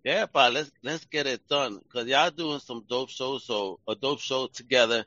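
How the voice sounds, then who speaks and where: A male podcast host who talks with a bright, forceful edge and slangy, excited energy, laughing between questions. The room sounds dry and close. The audio is a bit dull and lo-fi. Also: mid-range voice, normal speed, no echo. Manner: hyped-up, slangy, laughs a lot. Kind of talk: casual interview chat.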